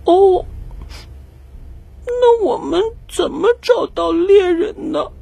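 A woman's voice: extremely high pitch, lively intonation, takes it slowly, creaky, warm tone, a little hiss or hum, pitched above the performer's own voice, exaggerated voice. A woman voicing a small, innocent wolf cub as a clinging young child with a childlike, tearful voice in a radio play. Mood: innocent, trusting.

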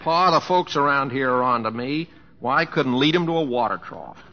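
An actor playing an easygoing, restless young cowboy in an old radio drama. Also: male voice, mid-range voice, animated, moderate pace, natural voice. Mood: earnest. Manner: drawling, plain-spoken, folksy. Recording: dull old radio recording.

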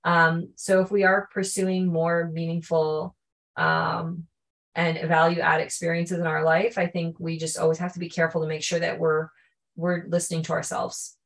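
A woman speaks as a podcast guest. Her answers are thoughtful and earnest, and she often pauses mid-sentence to find the right word. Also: on the low side, little pitch movement, gravelly. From Canada. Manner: thoughtful, earnest, searching for words. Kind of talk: reflective interview answers.

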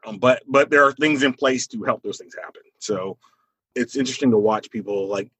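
Male, 40s, American. Husky, close dry sound, conversational, opinionated, thinks out loud. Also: mid-range voice, brisk, no room sound.

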